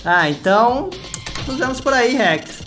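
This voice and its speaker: A man's voice: extremely high pitch, animated, unhurried, voice pushed up. A man with a Brazilian accent voicing a friendly, loyal young video game hero in a plain, earnest way. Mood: tired, friendly. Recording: loud game music behind.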